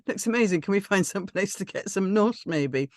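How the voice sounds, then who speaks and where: A woman's voice: mid-range voice, animated, normal speed, a little mellow, no echo, studio quality. A woman giving informal feedback on a script, tentative and hesitant as she thinks aloud. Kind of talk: informal spoken critique of a script.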